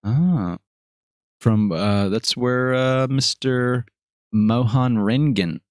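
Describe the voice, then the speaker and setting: A man's voice: mid-range voice, very slow, dry recording, studio quality. A man hosting a podcast in casual chat, rambling upbeat and correcting himself as he goes. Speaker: podcast host.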